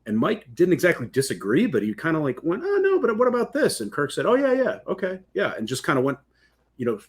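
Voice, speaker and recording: A man's voice: a little high, huge pitch swings, fast, breathy edge. A man co-hosting a podcast in casual conversation, agreeable and fond of long, winding explanations. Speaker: podcast co-host.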